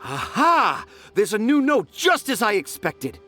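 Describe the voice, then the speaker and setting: An actor playing an excitable, stubborn writer of fairy tales in a game, eager and dramatic. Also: male voice, high voice, a little slow, exaggerated voice. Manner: eager, then insistent and dramatic. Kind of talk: game voice acting.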